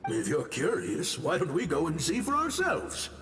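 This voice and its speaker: A man's voice: on the high side, huge pitch swings, normal speed, a bit bright, a little hiss or hum, full character voice. A man voicing a friendly, laid-back ghost in a video game.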